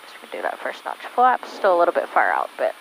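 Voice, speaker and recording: A woman's voice: fairly low pitch, wildly swooping pitch, fast, vocal fry, a bit bright, slight background noise, poor audio. A woman flying a small plane, giving clipped radio calls and talking herself through the approach over a headset intercom. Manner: clipped checklist-like callouts. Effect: aviation intercom and radio headset. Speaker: pilot flying instrument approach. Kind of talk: cockpit radio calls and self-narrated flying. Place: small airplane cockpit in flight. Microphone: aviation headset.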